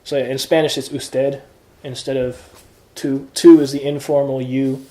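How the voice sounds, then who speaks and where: Mellow-voiced man tutoring Greek. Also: mid-range voice, level tone, measured pace, roomy sound, rough recording. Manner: patient, explains step by step with examples. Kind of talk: informal one-on-one lesson.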